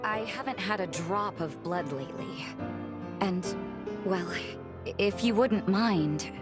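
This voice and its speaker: A woman voicing a gentle young vampire in a video game cutscene, speaking politely and thoughtfully with formal wording and soft teasing. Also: mid-range voice, takes it slowly, loud music behind the voice, natural voice. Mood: tender, shy. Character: gentle revenant woman, a vampire who loves cooking.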